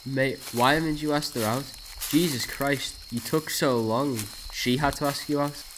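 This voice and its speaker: A man's voice: medium pitch, normal speed, a bit bright, slight background noise, close to an everyday voice, poor audio. A young British man playing a brash college student, a cocky but loyal friend, in an audio drama. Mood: teasing, exasperated. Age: young adult. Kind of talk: naturalistic audio drama acting.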